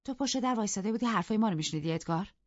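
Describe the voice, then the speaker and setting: A woman's voice: medium pitch, expressive, no room sound, muffled recording, voice pushed up, close to an everyday voice. A woman voicing a proud, fiery young wife with a heated, reproachful and taunting manner.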